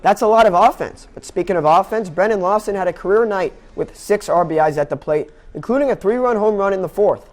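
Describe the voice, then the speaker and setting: High-pitched young male sports anchor. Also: a little fast, few pauses. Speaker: sports anchor and play-by-play announcer. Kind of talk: scripted sports newscast and baseball commentary.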